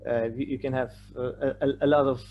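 Male presenter, native Polish with Polish accent, mid-pitched, halting lecture delivery, slightly noisy online recording with hum. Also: fairly even intonation, muffled recording. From Poland. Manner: hesitant, repeats words and stammers over phrase starts. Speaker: conference presenter. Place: remote online presentation with shared screen.

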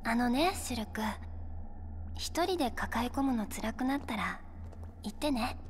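Gentle, high-voiced young heroine. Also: female voice, lively intonation, measured pace, in a large hall, slight background noise. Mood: tender. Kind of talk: Japanese video game cutscene acting.

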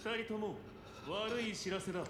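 An actor playing a composed male strategist who reports in a measured, serious tone in a game cutscene. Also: high voice, noisy background, close to an everyday voice.

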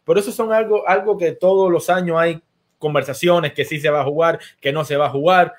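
A man's voice: a little high, fairly even intonation, fairly quick. A man in his thirties with a Cuban accent, hosting a casual baseball talk show and greeting viewers. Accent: Cuban Spanish. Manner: chatty, sends greetings to viewers. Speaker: host.

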